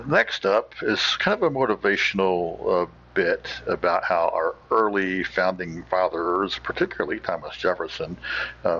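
A deep-voiced man gives casual commentary as a show host, thinking aloud in a halting way. His voice is gravelly and husky, on a slightly lo-fi recording. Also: fairly bright tone. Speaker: podcast host.

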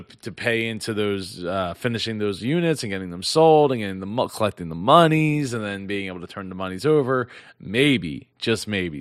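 Hoarse man rambling through business news. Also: lowish voice, no room sound, clean studio sound. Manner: rambling, many restarts and fillers. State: losing his voice. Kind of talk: casual news commentary. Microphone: close mic.

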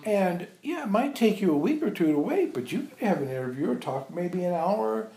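A man informally reminiscing to visitors as a spiritual teacher, telling animated anecdotes and acting out remembered dialogue. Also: high voice, normal speed. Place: echoey indoor room at an ashram. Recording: roomy, echoey sound.

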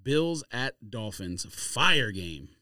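A man hosts a casual sports talk show with very animated, swinging intonation. He is blunt, slangy and opinionated, chatting as if among friends. The recording is close and dry. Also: medium pitch, very slow, no echo. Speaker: sports podcast host. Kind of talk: casual sports banter and game picks. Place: small room or studio, in person.

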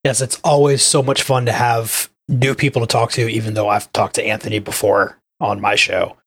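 A man's voice: on the low side, flat delivery, moderate pace, slightly rough, dry recording. A man chatting casually as a podcast co-host. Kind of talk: casual chat.

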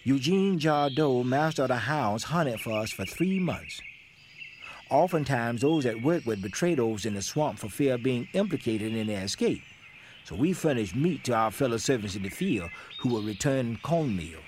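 A man performs a young man who fled slavery, giving plain, measured testimony in a historical stage drama. His medium-pitched voice sits over faint outdoor ambience with animal and bird sounds. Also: animated, a little mellow, a little hiss or hum, lightly acted. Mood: plain, steady recounting.

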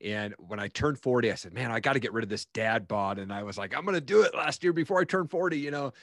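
A man's voice: medium pitch, lively intonation, fast talker, a bit bright, no room sound, studio quality. A man in his forties hosting a podcast interview, friendly and affirming toward his guest over a video call. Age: 40s. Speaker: podcast host. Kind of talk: casual interview chat.

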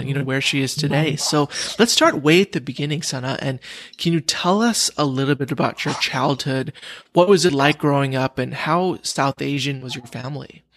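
A man's voice: medium pitch, fairly bright tone, no echo. A man hosting an interview podcast, introducing his guest and asking questions.

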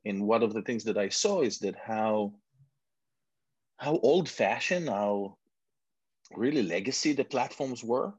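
A man's voice: fairly low pitch, lively intonation, measured pace, pauses often, a little mellow, slightly rough, no room sound. A man in his forties from Israel with an Israeli accent, the guest entrepreneur, talking casually with self-mocking asides and many restarts. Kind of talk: casual interview chat. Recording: dull muffled lo-fi sound.

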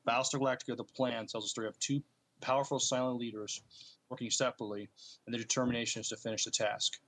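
A man co-hosting a podcast, reading out notes and chatting casually with a halting, hesitant delivery. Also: medium pitch, level tone, fairly bright tone. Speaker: podcast co-host.

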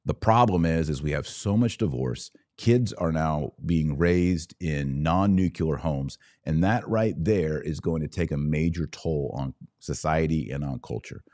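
A man's voice: rumbling low, measured pace, hardly any pauses, warm tone. An American man hosting a podcast, giving emphatic, opinionated live commentary on politics and religion in short clipped phrases. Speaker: podcast host.

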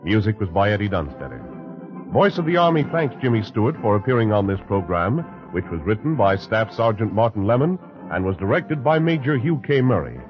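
A man's voice: fairly low pitch, very animated, a little fast, music in the background. An American man working as a 1940s radio announcer, reading a script in a formal, emphatic way. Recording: dull 1940s radio recording.

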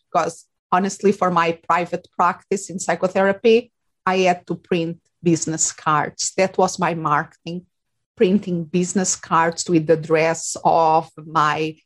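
Woman, Portuguese accent, middle-aged, fairly low pitch, earnest interview guest, pauses with fillers. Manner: earnest, searching for words, frequent fillers. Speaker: guest, psychologist and life coach. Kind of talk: interview conversation.

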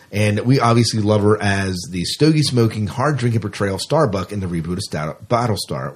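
A middle-aged man with a lowish, slightly husky voice hosts a podcast. He reads out entertainment news in a casual, chatty way. His delivery is informal, with a fan's enthusiasm. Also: darkish tone. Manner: informal, enthusiastic fan talk. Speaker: podcast host.

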